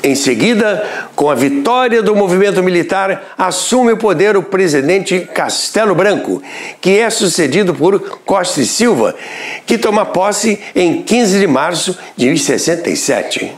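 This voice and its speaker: Brazilian male documentary narrator, slightly high voice, very animated intonation, scripted delivery. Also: unhurried. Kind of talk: scripted radio history narration.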